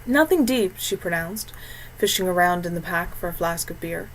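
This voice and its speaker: A woman's voice: fairly low pitch, flat delivery, normal speed, fairly bright tone, a little hiss or hum, rough recording. A woman reading scripted fantasy fiction as an audiobook narrator.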